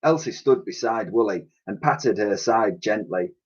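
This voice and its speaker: A man reading a children's storybook aloud in an expressive, dramatic way, with the odd stumble. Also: on the low side, measured pace, breathy edge. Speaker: primary school teacher reading aloud. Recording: dull lo-fi home recording.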